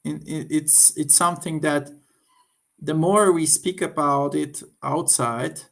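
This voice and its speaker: A man from Slovakia with a Slovak accent gives an informal, unscripted review, halting as he searches for words. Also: on the high side, vocal fry. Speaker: occult book reviewer.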